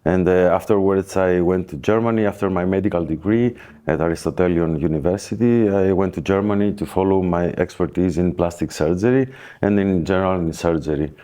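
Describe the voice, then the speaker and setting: A man with a very deep, dark voice and a Greek accent. He speaks as an expert guest on a podcast. His explanations come in hesitant, broken phrases. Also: brisk. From Greece. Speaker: plastic surgeon, guest expert. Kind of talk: podcast interview, explanatory talk.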